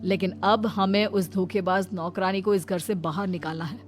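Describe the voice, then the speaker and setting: A woman's voice: mid-range voice, steady tone, moderate pace, close to an everyday voice. An earnest cartoon husband and son voiced by a woman speaks over music. He is troubled and reproachful, torn between two loyalties. The delivery is melodramatic. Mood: resolute. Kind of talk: melodramatic Hindi cartoon voice acting.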